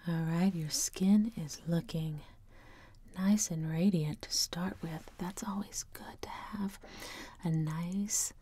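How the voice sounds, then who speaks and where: A woman in her thirties doing ASMR in a slow, soothing whisper, close to the mic. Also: fairly low pitch, fairly even intonation, warm tone, breathy edge. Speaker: ASMR video creator. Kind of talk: ASMR whispering, gentle makeup roleplay.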